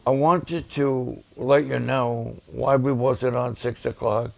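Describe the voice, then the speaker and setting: Male pastor, raspy and gravelly, unhurried, deliberate preaching delivery. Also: medium pitch, fairly even intonation, a little mellow, dry recording. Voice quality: raspy at times. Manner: slow, deliberate, with emphatic repetitions. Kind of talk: preaching and promoting ministry videos to a livestream audience.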